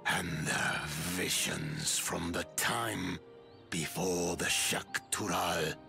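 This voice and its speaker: Very deep, gravelly, solemn giant. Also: male voice, fairly even intonation, sharp tone, soft background music, exaggerated voice. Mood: solemn, reverent. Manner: halting, weighty, formal phrasing. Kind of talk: video game cutscene acting.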